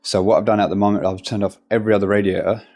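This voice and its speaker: Man, gas engineer, slightly low voice, congested with a cold, casual vlog into a handheld phone. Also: level tone, rapid-fire, darkish tone. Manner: chatty, explains work step by step. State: stuffy nose from a cold. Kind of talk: casual work vlog to camera. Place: customer's house.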